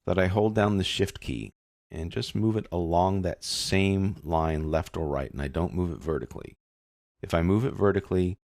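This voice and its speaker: Very deep-voiced male tutorial narrator. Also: creaky, darkish tone, no room sound, clean studio sound. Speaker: software instructor. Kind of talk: casual step-by-step screen tutorial narration.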